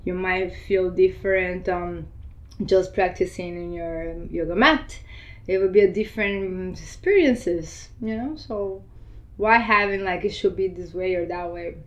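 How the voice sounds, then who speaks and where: A woman's voice: fairly low pitch, a little slow, highs cut off. Woman. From Argentina and Brazil. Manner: laughs often, loose run-on explanations. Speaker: yoga teacher. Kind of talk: casual interview answers.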